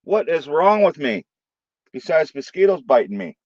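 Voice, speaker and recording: A man with a St. Louis accent hosting a late-night live stream from his room, joking loudly and swearing freely. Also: on the high side, big pitch swings, moderate pace, no room sound, dull audio. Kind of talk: casual live stream chat.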